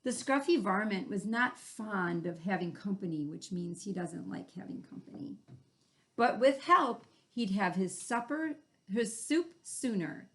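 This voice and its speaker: A woman hosting a children's read-aloud, expressive and playful, pausing to explain things in casual asides. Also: medium pitch. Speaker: story reader and host.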